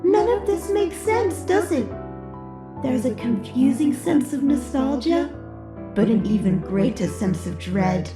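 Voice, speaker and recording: A woman's voice: high-pitched, lively intonation. Woman as an echoing, ominous ghostly voice. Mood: ominous, taunting. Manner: deliberate, cryptic, taunting. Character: ominous, taunting, ghostly voice of inner dread. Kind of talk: eerie comic-dub voice acting. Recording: echoing voice over music.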